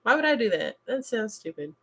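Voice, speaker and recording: A young adult woman from the United States hosting a casual chat stream, blunt and animated, laughing often as she talks. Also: on the high side, brisk, breathy edge. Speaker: stream host. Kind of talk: casual chat reading chat messages.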